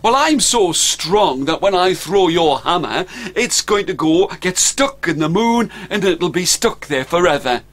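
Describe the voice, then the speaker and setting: A man's voice: sits high, expressive, a little slow, few pauses, a bit bright, heavily acted. Sly bluffing trickster Stan, told by a man. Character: crafty small man tricking dragons, sly.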